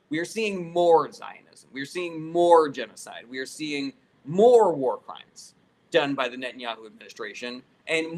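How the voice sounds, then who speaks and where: A man's voice: a little high, poor audio. A man hosting a casual live political Q&A, reading comments aloud and answering them in a conversational, opinionated, argumentative way. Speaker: live stream host answering viewer questions.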